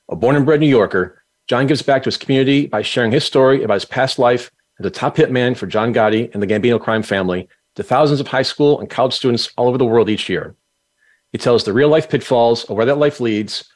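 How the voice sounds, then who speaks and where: A man hosting an interview podcast at a middle pitch. He reads a formal introduction in a steady, measured way and then puts questions to his guest. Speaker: podcast host.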